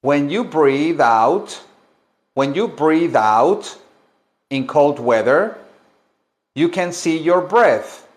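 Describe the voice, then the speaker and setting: A man teaching English, switching between languages and repeating each phrase slowly for learners. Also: fairly high pitch, expressive. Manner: slow, deliberate drilling with many repetitions. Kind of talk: bilingual language lesson.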